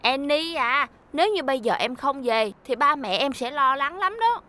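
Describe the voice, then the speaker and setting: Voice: sits high, lively intonation, moderate pace, bright, forceful tone, a little hiss or hum. An actor voicing an eager, kindly young male school bus in a children's cartoon dub, coaxing and reassuring. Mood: worried, reassuring.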